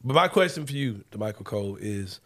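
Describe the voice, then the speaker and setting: A man's voice: on the low side, lively intonation, fairly quick, a bit bright. A man in his forties hosts a casual sports talk show. He talks in a laid-back way, full of slang and teasing. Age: 40s. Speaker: podcast host.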